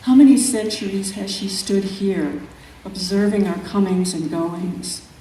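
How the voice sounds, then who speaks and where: A woman poet reading her own work at a live event, in measured, deliberate phrases with pauses. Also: mid-range voice, dark tone, a little hiss or hum. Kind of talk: poetry reading at a live event, with brief spoken introductions. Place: echoey room at an arts event. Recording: very echoey room, distant mic, music.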